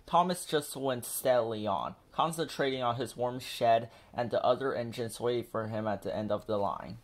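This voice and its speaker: A man's voice: mid-range voice, moderate pace. Man reading a children's storybook aloud. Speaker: children's book narrator.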